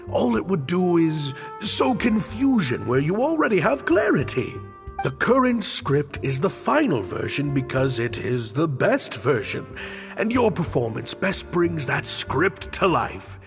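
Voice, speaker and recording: Florid theatre director, wildly swooping pitch. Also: male voice, big pitch swings, a little slow. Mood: smooth, grandiose. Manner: grandiose, emphatic, florid phrasing. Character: theatrical, high-strung male director of a theatre troupe. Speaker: theatre director character in a video game. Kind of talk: dramatic game voice acting. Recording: reverberant studio voice with music. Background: background music.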